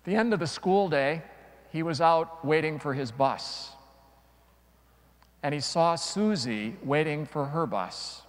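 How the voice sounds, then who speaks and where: A middle-aged male priest delivers a homily in a slightly high voice. He speaks very slowly and deliberately, pausing for dramatic effect as he preaches. The long reverb of a large church surrounds his words. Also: lively intonation. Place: large church, before a congregation.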